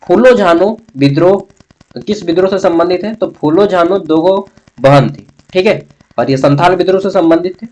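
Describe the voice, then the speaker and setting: A man's voice: high voice, normal speed, a little mellow, low-quality recording. A man giving an instructional exam-prep lecture, explaining point by point with frequent check-in tags. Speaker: online teacher.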